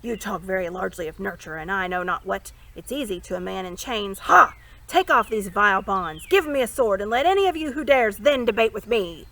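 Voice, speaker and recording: A woman's voice: mid-range voice, expressive, fairly quick, a bit bright. A woman voicing a furious, sulking captive young prince in a storybook, spluttering bombastic threats with theatrical defiance. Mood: spluttering fury.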